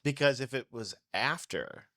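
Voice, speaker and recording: A man's voice: mid-range voice, lively intonation, moderate pace, bright, forceful tone, dry recording, studio quality. A man on a podcast panel, chatting casually. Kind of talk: casual chat.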